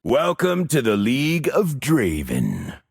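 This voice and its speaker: Cocky show-off executioner game champion, raspy sharp voice, super animated, slow and swaggery, dry studio sound. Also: male voice, mid-range voice, no room sound, heavily acted. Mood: smug swagger. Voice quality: gravelly, raspy. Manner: swaggering, boastful showman. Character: cocky, showboating male executioner game champion, gleefully arrogant. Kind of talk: video game character voice lines.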